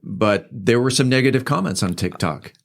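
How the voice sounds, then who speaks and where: A man interviewing a guest on a podcast, conversational, putting his questions in a halting, deliberate way. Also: mid-range voice, animated, gravelly.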